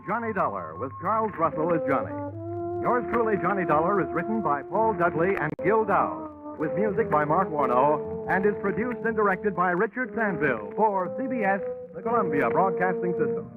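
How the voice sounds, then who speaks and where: A man's voice: sits high, lively intonation, moderate pace, over loud music. A man announcing network programmes in a scripted, promotional 1940s radio style. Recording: tinny, noisy 1940s radio broadcast.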